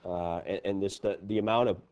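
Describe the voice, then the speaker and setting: A man with a low-pitched, relaxed voice anchors live local news. He talks off the cuff, halting as he looks for the right words. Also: smooth. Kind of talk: live unscripted flood coverage commentary.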